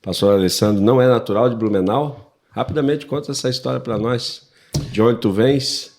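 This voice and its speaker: A man, his voice sitting at a mid pitch. He hosts a church podcast in a relaxed, playful way, bantering with his co-host. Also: normal speed. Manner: playful, laughs often, bantering. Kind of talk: casual podcast chat.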